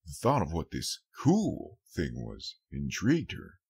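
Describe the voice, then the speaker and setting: A man's voice: very deep voice, wildly swooping pitch, measured pace, creaky, darkish tone, husky. A man reading a scripted story as an audiobook narrator.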